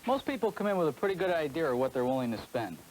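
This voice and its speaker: A man's voice: on the high side, sing-song, rapid-fire, a little mellow, a little hiss or hum, rough recording. A man speaking as a salesman, giving an earnest, explanatory scripted testimonial on a 1970s film soundtrack.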